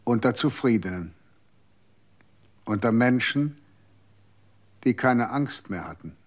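A cold, curt guardian. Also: male voice, medium pitch, slow. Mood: cold, weary. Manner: calm, curt. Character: cold, aloof former guardian of the dead. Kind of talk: radio drama acting.

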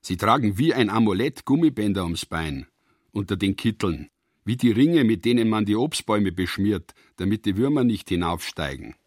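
A man's voice: lowish voice, lively intonation, normal speed, no echo, studio quality. A man reading scripted literary prose as the narrator of a radio production.

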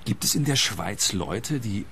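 An actor playing a naive, bumbling detective from Germany, earnest and literal-minded, in a radio play. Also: male voice, lowish voice, expressive, a little slow, pushed and bright, a little hiss or hum, heavily acted.

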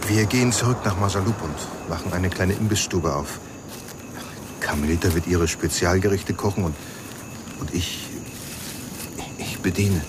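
An actor playing a loyal house caretaker in a radio crime drama, reserved, polite and plain-spoken. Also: male voice, low voice, normal speed, pauses often, natural voice. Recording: noisy track with outdoor insect ambience.

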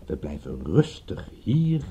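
A man acting in a radio play as a stubborn, irritable private detective, gruff and curt, with a wry, principled streak. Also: low voice, huge pitch swings, takes it slowly, mellow, muffled recording, slight background noise, heavily acted. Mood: sincere, determined.

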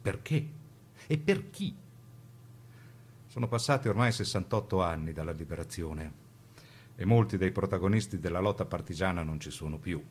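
A man giving an emphatic, rhetorical scripted narration for a radio documentary play, with weighted pauses. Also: low-pitched, animated, slightly rough.

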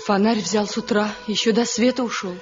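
A woman acting a wronged, grieving young village wife in a 1970 radio drama, husky and plaintive, on a muffled old recording. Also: medium pitch, fairly even intonation, brisk, soft background music, lightly acted. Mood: anxious, sad. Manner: plaintive, lamenting.

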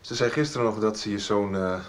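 An actor playing a calm, easygoing, reassuring man in a 1990s radio soap. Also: fairly low pitch, fast talker, close to an everyday voice. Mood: calm, coaxing.

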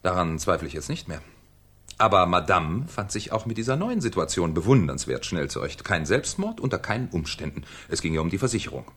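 An actor playing a shrewd, ironic French police commissioner in a 1970s German radio crime drama, with a theatrical, needling manner. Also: male voice, sits low, sing-song, brisk, a bit bright, slightly rough, exaggerated voice. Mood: sardonic, needling.

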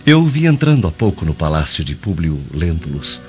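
Menacing roman guard seducer, brazilian radio play, really low voice, muffled w music. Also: male voice, sing-song, brisk. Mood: assured, menacing. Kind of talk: Brazilian radio drama acting.

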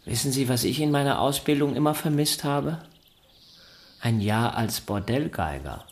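A man acts out a modest, gentle violinist in a radio drama. His medium-pitched voice moves in lively swings, polite and a touch hesitant, with wry earnestness. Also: moderate pace, close to an everyday voice. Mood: earnest, wry. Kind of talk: German radio play acting.

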